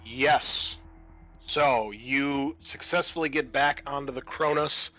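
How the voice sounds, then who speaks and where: A man's voice: mid-range voice, takes it slowly, pushed and bright, faint music behind. A man acting as game master, giving rulings and narration in a tabletop roleplaying game.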